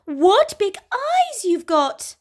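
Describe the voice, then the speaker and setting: A woman's voice: very high voice, very animated, drawn-out words, voice pushed up, full character voice. A woman voicing a sweet little girl in a storybook, breathless and wide-eyed. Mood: wondering.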